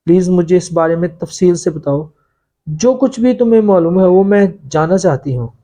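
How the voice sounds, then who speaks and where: A man's voice: high voice, expressive, moderate pace, mellow. A man voicing a young woman office employee in a story, questioning and insistent.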